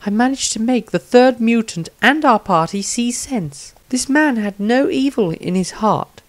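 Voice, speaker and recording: A man voicing a compassionate, earnest woman adventurer, reading her fantasy diary in a soft, subdued way. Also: high-pitched, pitched above the performer's own voice.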